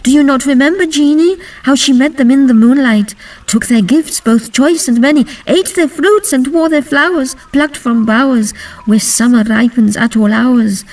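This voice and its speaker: A woman performs an earnest young maiden from a Victorian fairy-tale poem. Her voice is high and emphatic, with a theatrical storybook delivery. She sounds slightly distant from the microphone. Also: moderate pace, darkish tone, close to an everyday voice. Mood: worried, chiding. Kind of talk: dramatic poetry reading.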